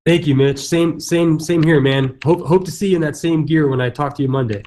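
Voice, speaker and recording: A man's voice: fairly high pitch, brisk, darkish tone. A man who works as a real estate agent, speaking casually at a conference session, loose with fillers and restarts. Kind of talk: conference stage talk.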